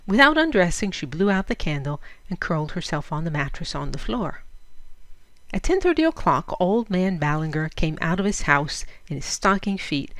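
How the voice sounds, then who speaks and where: A woman narrating a literary story in a measured, expressive storytelling manner. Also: on the low side, no echo. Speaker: audiobook reader.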